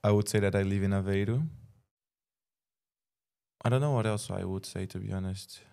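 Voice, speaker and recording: A young man in his twenties speaks with a Brazilian accent and a lowish voice. He chats casually as a podcast guest, hesitating and thinking aloud with plenty of fillers. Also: normal speed, gravelly, warm tone, clear, resonant tone. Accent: Brazilian Portuguese. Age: 20s. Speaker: student guest. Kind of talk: casual chat.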